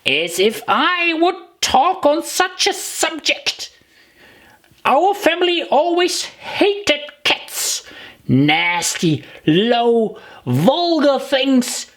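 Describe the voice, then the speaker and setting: A woman playing a shrill, indignant little male mouse. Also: sing-song, extremely slow, full character voice. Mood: indignant, trembling. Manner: passionate, offended. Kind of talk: children's audiobook character voice.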